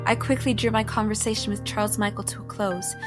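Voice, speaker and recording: A woman's voice: medium pitch, flat delivery, fast, music in the background, close to an everyday voice. A woman playing an earnest, anxious young heroine in a first-person audio drama, with a dramatic delivery. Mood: tense, reflective. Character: anxious young woman heroine, resourceful, narrating her own mystery.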